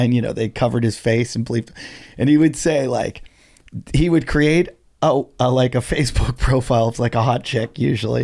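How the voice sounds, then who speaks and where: A middle-aged man, a stand-up comedian, telling stories in a casual podcast chat, animated and swearing freely. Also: mid-range voice. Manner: animated storytelling, heavy swearing.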